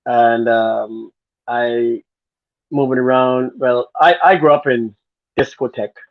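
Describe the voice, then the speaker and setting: A man's voice: medium pitch, fairly even intonation, slow pace, no room sound. A man from Guyana with a Guyanese accent, a guest telling his story in an earnest, rambling way. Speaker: guest, former dancer. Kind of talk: casual interview talk. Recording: phone-like narrow sound.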